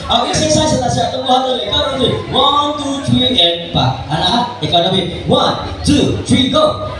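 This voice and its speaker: A man's voice: very high-pitched, expressive, normal speed, background noise. A man with a Visayan Filipino accent working a live party as magician and host, playfully calling out prompts to the audience. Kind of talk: live magic show patter with audience games. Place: echoey party venue with music and crowd. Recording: echoey party venue, distant mic, loud music and crowd.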